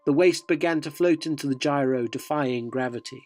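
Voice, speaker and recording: A man's voice: a little high, moderate pace, a little mellow, soft background music, close to an everyday voice. A man playing an earnest, inquisitive magazine reporter in a radio drama, narrating and questioning with a dryly descriptive manner. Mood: uneasy, awed.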